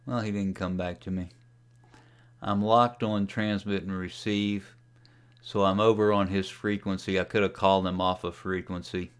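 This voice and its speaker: A man giving a casual, folksy tutorial as a ham radio hobbyist, talking through a software demo in an unhurried way. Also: low voice, little pitch movement, smooth. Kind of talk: casual screen-share tutorial.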